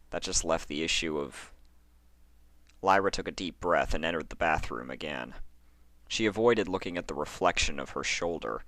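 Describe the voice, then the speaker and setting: A man with a low, forceful voice narrates a horror story. He phrases deliberately, leaving dramatic pauses between fragments. The recording is dry and close. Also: no room sound. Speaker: fan fiction narrator.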